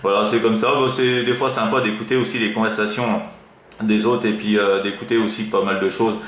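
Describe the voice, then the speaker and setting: Low-voiced French man, rambling radio chat. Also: level tone, brisk, a bit bright. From France. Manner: hesitant, rambling, many verbal tags. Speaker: CB radio operator. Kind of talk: casual amateur radio chat. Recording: roomy echo, faint background noise.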